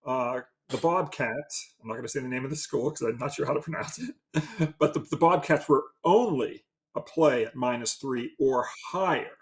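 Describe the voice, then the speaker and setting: A man, a sports handicapper, talking in a halting, modest way with lots of fillers. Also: medium pitch. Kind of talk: casual interview talk.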